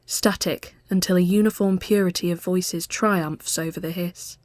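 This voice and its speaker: A woman reading a literary ghost story as scripted narration, measured and often hushed as phrases end. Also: on the low side, steady tone, no echo.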